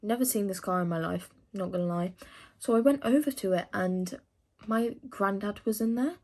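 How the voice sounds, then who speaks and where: A woman's voice: medium pitch, moderate pace, mellow. A teenage woman from England chatting casually to camera, rambling with lots of fillers as she answers questions. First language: English. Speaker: vlogger.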